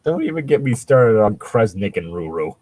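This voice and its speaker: A man with a lowish, rough-edged voice reads fan fiction aloud and mocks it as he goes. His delivery is very animated, sweary and exasperated. He is a casual comedy co-host, recorded close and dry. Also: moderate pace, no room sound. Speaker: podcast co-host.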